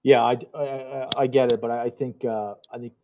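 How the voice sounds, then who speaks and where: A man from Canada in a casual sports chat, measured and hedging, stammering as he starts. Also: medium pitch, fairly even intonation, warm tone, a little hiss or hum. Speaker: podcast co-host.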